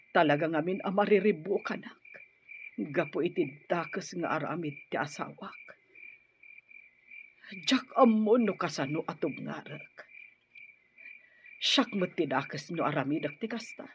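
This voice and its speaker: A woman playing a troubled, weary wife and lady of the house in an Ilocano radio drama, earnest and anguished. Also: deep voice. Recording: muffled radio drama recording, faint hiss.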